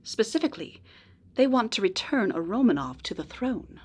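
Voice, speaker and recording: A woman playing a polite, earnest informant in a noir radio drama, speaking in a measured, precise way. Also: on the high side, sing-song, breathy edge. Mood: grave, informative. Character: polite, earnest female informant helping a detective.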